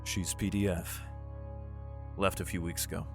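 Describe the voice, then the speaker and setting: Guy acting as worried protective dad telling his war story, low voice, music loud underneath. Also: little pitch movement, measured pace. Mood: guarded. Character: worried, protective father fleeing war, narrating his ordeal. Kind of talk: first-person audio drama narration and dialogue. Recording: loud music bed behind voice.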